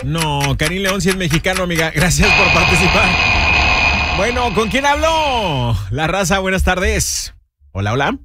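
High-voiced playful radio quiz host. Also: male voice, very animated, unhurried. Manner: playful, teasing, sing-song delivery. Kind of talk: lively radio quiz hosting. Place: radio studio. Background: background music.

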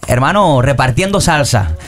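A man's voice: medium pitch, normal speed, faint music behind. A man from Venezuela, a salsa singer, chatting easygoingly as a guest in a radio studio. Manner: relaxed, easygoing, uses slang. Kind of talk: casual interview chat.

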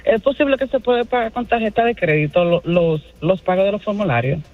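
A woman's voice: medium pitch, expressive, fairly quick. A woman phoning a radio show to ask a question. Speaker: caller. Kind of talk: phone inquiry. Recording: thin, tinny phone line.

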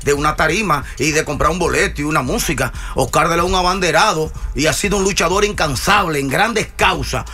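A man hosting a radio show, chatting in an excitable, exclamatory way and jumping in often. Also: fairly high pitch, brisk, hardly any pauses, slightly rough, faint music behind. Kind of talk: lively radio chat. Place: radio studio.

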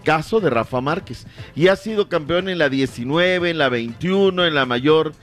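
A man's voice: a little high, lively intonation, moderate pace, faint music behind. A man hosting an opinionated sports discussion show, speaking emphatically and rhetorically with formal turns of phrase. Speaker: sports talk show host.

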